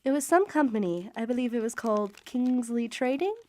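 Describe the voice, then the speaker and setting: An actress playing an affectionate young married Victorian mother reading her letters in a radio drama, with genteel, expressive period diction. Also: fairly high pitch, fairly quick, close to an everyday voice. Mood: excited, curious.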